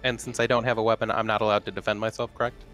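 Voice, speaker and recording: A man's voice: lowish voice, little pitch movement, racing, a bit bright, faint music behind. A man taking part in a tabletop roleplaying game, chatting casually about the rules and his turn. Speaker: player.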